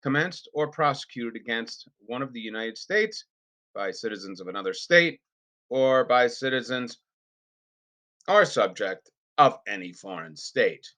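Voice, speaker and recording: A man reading a formal legal document aloud in an unrehearsed, deliberate and emphatic way, with the occasional stumble. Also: medium pitch, sing-song, sharp tone. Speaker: reader.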